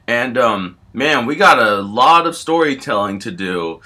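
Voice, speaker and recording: Man playing a bright-voiced rambling storyteller fish. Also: mid-range voice, animated, a little slow. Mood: friendly. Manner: rambling, hesitant, filler-heavy. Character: calm storytelling fish statue, rambling and measured. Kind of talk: improvised storytelling.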